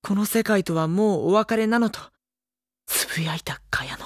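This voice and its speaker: An actor playing an earnest, brooding teenage schoolboy in a Japanese audio drama, questioning and narrating with dramatic pauses. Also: male voice, sits high, no echo, studio quality. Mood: anguished realization.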